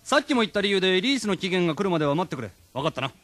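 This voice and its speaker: A male actor playing a gruff but patient middle-aged department manager in a radio comedy drama, explaining things with authority. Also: high-pitched, very animated, racing. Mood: wry, stern.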